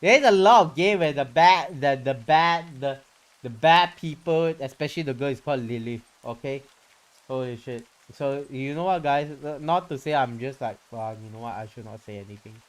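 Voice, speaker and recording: A man's voice: a little high, normal speed. A man hosting a casual game livestream, reading story text aloud dramatically and commenting informally with mild swearing. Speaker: game streamer and host. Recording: music behind the voice.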